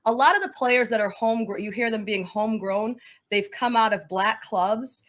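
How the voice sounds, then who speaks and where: A woman's voice: a little high, normal speed, fairly bright tone. An American woman speaking as an interview guest in a conversational, earnest and emphatic way, like an advocate.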